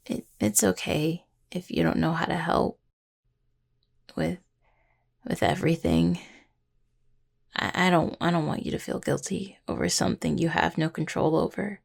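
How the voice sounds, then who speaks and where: Creaky-voiced, halting young necromancer played by a woman. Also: deep voice, expressive, heavily acted. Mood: vulnerable, subdued. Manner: halting, stammering, apologetic. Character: grieving young female necromancer, tender, apologetic, affectionate partner. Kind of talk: intimate audio roleplay addressed to the listener.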